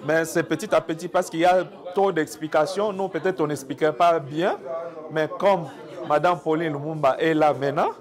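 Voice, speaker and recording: Congolese man, slightly high voice, crowd behind. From Democratic Republic of the Congo. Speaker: community association president. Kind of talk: on-camera interview statement to community media.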